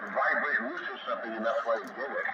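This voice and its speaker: A man's voice: mid-range voice, fast talker. A man in a casual two-way radio chat, heard as an operator over a CB radio transmission. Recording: noisy, muffled, echoey CB radio transmission.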